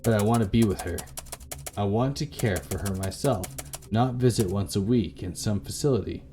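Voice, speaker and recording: A man's voice: fairly low pitch, moderate pace, mellow, slight background noise, close to an everyday voice. A man voicing a worried grown daughter, anxious and hesitant, caring for her failing mother in a visual novel. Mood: anxious, tired.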